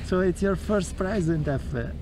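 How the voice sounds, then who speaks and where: A man's voice: high-pitched, moderate pace, noisy background. A man in his thirties with an Italian accent, hosting a casual travel vlog in a playful, teasing way, speaking English with small slips outdoors in the snow. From Italy. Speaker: vlog host. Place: outdoors in snow at a car charging station.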